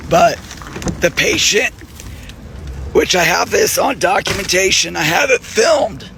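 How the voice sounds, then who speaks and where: Hoarse, furious man. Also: high voice, expressive, measured pace, pushed and bright, slight background noise. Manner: angry, profane, frequent throat clearing. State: hoarse, losing his voice. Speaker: nurse. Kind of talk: direct-to-camera video appeal.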